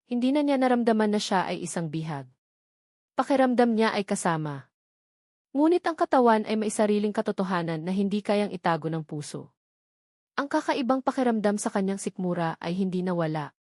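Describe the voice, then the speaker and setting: A woman narrates a dramatic story in a scripted audiobook style. Her voice sits at a medium pitch, and the sound is very dry, with little room in it. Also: expressive, a little fast, a little mellow, dry recording.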